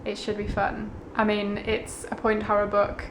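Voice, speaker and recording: A woman's voice: mid-range voice, monotone, moderate pace, noisy background. A woman talking casually and conversationally as a book vlogger, in an easygoing, informal way. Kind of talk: casual chatty vlog about books.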